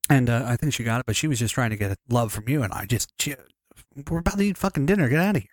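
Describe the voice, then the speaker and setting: A man's voice: medium pitch, expressive, rapid-fire, no room sound, clean studio sound. A man co-hosting a podcast, chatting casually in a rambling way, swearing freely and restarting his sentences. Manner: rambling, profane, many restarts. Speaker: podcast co-host. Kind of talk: casual chat.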